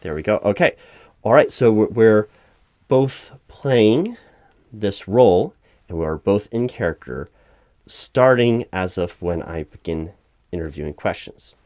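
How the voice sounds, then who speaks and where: A man with a low voice plays an officious recruiting manager in a spoken-aloud ASMR roleplay. He explains things patiently but haltingly, as if improvising the interview. The sound is dry and close, with very little room in it. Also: lively intonation, a little mellow, no room sound. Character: flustered, officious male recruiting manager at a company. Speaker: job interviewer in a one-sided roleplay.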